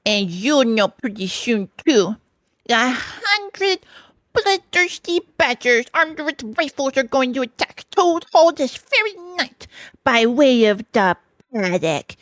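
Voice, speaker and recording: A woman voicing a brazen storybook washerwoman, pert and defiant, with drawn-out words. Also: high-pitched, sing-song, a bit bright, dry recording, voice pushed up, exaggerated voice. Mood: threatening. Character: cheeky, brazen washerwoman, a disguise persona. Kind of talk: storybook character voice.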